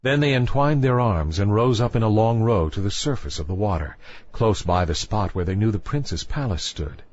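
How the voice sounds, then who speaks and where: A man with a fairly low voice narrates a children's fairy tale as a storyteller. His pitch rises and falls in a very animated way. The recording is very dry and slightly muffled, with a lo-fi dullness. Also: normal speed, no echo.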